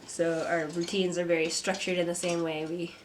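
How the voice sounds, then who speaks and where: A young woman, a mother and artist, talking to camera from a script in a soft, reflective vlog; she sounds stuffy and congested. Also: on the low side, flat delivery, moderate pace, a little hiss or hum. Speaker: vlogger, artist. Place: home. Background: kids playing.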